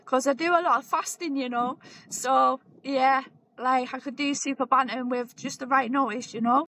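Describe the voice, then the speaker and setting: Chatty high-voiced woman in her forties. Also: normal speed, poor audio. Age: 40s. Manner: relaxed, chatty, repeats herself. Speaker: boxer and guest. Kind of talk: casual interview chat.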